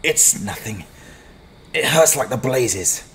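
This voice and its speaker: A man voicing a grumpy, suspicious innkeeper in a dramatized fantasy audiobook, gruff and indignant, with a gravelly voice. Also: medium pitch, animated, slow pace, poor audio. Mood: grudging. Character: grumpy, suspicious male innkeeper, quick to insult.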